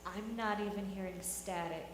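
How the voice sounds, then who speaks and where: A woman playing a spirited, independent engineer, brisk, pointed and practical, in a live radio drama. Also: medium pitch, natural voice. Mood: tense, practical. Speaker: radio theatre actor. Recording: noisy echoey hall, live stage.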